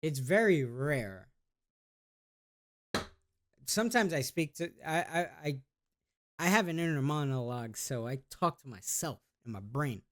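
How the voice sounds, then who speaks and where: A man's voice: a little high, lively intonation, unhurried, long pauses, a bit bright. A man in a casual vlog, reading test questions aloud and answering them in a rambling, hesitant way, with laid-back slangy talk. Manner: rambling and hesitant, with many restarts and trailing off. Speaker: YouTuber.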